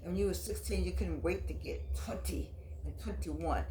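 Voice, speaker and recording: A woman's voice: fairly low pitch, dark tone, a little hiss or hum. Chatty woman in her eighties. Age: 80s. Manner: chatty, reminiscing, drawn-out phrases. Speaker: host. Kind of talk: casual vlog monologue.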